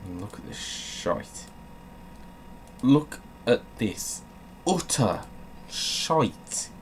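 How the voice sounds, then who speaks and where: A man's voice: medium pitch, sing-song, extremely slow, a little hiss or hum. A man hosting with a dry, self-mocking manner, moving between theatrical storybook reading and casual, sweary rambling. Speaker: host of a gaming channel.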